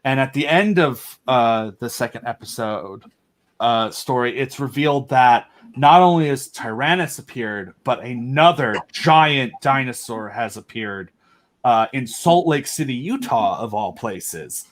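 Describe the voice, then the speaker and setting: A man's voice: medium pitch, lively intonation, unhurried. Eager young man rambling about monsters. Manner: rambling, enthusiastic, filler-heavy. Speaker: podcast guest. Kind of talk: casual chat.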